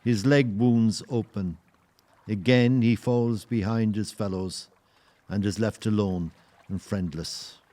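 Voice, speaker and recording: A man as a very slow, solemn storyteller. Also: fairly low pitch, steady tone, warm tone. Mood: grave. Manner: measured, literary, grave. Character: solemn storyteller narrator of old sea tale. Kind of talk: scripted dramatic narration.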